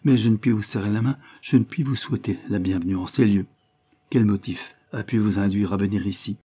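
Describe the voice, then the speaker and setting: Un homme jouant une jeune bonne ferme. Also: male voice, lowish voice, mellow, breathy edge. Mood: firm. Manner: firm, measured questioning. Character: pale, firm young nursemaid.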